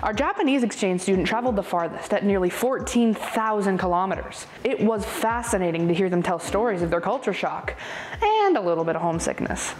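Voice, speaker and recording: A woman's voice: mid-range voice, expressive, fairly quick, darkish tone, a little hiss or hum. A teenage girl student reporter reading a scripted segment in an upbeat way. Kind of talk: scripted narration.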